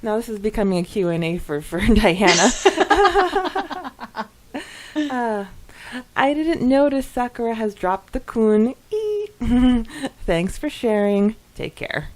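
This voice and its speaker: A woman co-hosting a podcast, chatting casually in a giggly, expressive way as she reacts to listener comments. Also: a little high, slow pace. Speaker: podcast co-host.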